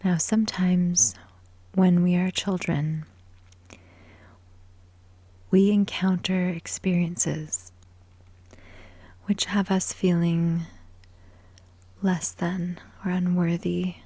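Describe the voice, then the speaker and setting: A woman guiding a sleep hypnosis in ASMR style, soft, breathy and whispery, soothing and measured, with long pauses between short phrases. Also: fairly low pitch, flat delivery, no room sound. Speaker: hypnosis and meditation guide.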